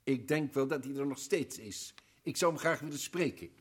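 A shrewd professor hunting for the truth against the clock in a radio play. He speaks in a plain, mid-pitched male voice, insistent and probing, pressing his questions home. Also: expressive, moderate pace, husky. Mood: urgent. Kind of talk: radio drama acting.

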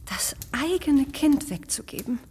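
An actress playing a gentle, sympathetic young mother who speaks softly and earnestly. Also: sits high, very animated, measured pace, long reverb tail, a little hiss or hum. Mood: tender. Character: gentle, tender young mother, easily moved. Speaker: actress in a radio play.

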